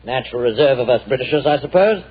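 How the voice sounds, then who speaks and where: An actor playing a vain, effusive middle-aged stage actor in a 1940s radio drama, gushing and theatrical. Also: male voice, medium pitch, lively intonation, quick pace, slightly rough. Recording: dull old radio recording.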